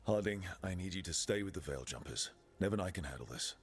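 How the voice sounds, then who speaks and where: Blunt, low-voiced adventurer hero. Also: male voice, expressive, quick pace, slight background noise. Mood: firm. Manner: dry, wry, direct. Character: steady, blunt male adventurer and team leader, fantasy hero. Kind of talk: video game voice acting.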